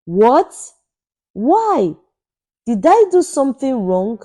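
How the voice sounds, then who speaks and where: A desperate young male barber in an audio folktale, voiced by a woman storyteller. The delivery is soft-toned and unhurried, full of anguish and remorse. Music plays behind the pleading. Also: fairly high pitch, sing-song, full character voice. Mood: shocked.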